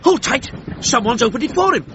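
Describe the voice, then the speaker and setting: An actor playing an excitable 1930s British radio sports commentator, narrating with theatrical, plummy exclamations. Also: male voice, very high-pitched, brisk, full character voice. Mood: excited. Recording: dull radio sound, noisy crowd behind.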